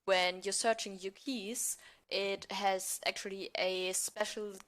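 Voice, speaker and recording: A woman's voice: mid-range voice, little pitch movement, slow pace, fairly bright tone. Woman guest chatting, mid-range voice. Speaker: theatre group member, podcast guest. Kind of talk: casual chat and improvised banter. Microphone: recorded remotely on a phone.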